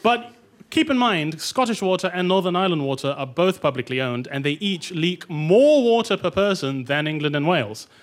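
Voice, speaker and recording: A man from Malaysia presenting a topical comedy segment to a live audience, with deadpan punchlines and chuckling at his own jokes. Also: high voice, moderate pace.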